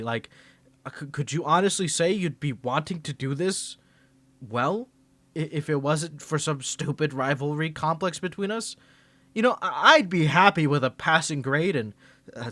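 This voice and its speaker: Man, fairly high voice, dry booth sound: stammering, flustered, bossy roleplay rival talking straight to the listener. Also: bright, forceful tone, dry recording. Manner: flustered, stammering, defensive grumbling; bossy, scolding outbursts. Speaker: ASMR roleplay performer. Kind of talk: ASMR roleplay, speaking to the listener.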